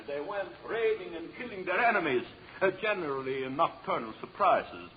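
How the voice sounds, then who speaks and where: In a muffled old radio drama, an actor plays a pedantic anthropologist. His high-pitched man's voice delivers a formal, declamatory lecture over faint hiss. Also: animated, moderate pace, lightly acted. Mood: pedantic lecturing. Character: pedantic male anthropologist lecturing on savage tribes.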